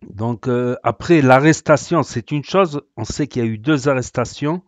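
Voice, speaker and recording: A man's voice: medium pitch, moderate pace, no room sound. A man hosting a reaction video, sarcastic and rambling, with many hesitations. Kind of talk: casual reaction commentary.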